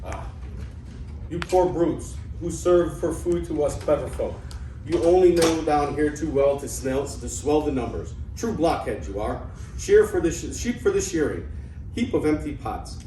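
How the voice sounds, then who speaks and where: A man in an amateur play reading, acting an excitable, scheming old debtor father with theatrical exclamations and stumbles. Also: a little high, measured pace. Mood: mocking. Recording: echoey room, distant mic, faint noise.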